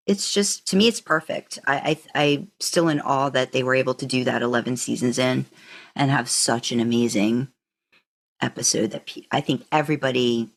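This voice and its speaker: Low-voiced woman chatting as an enthusiastic podcaster. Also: vocal fry, husky. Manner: enthusiastic, emphatic. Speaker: podcast co-host. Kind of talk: casual fan discussion.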